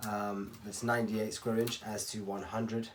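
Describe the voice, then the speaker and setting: A man from Spain giving a casual, rambling hands-on tutorial as a racquet stringer, often clearing his throat. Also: on the low side, little pitch movement, measured pace. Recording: clattering workshop tool noise.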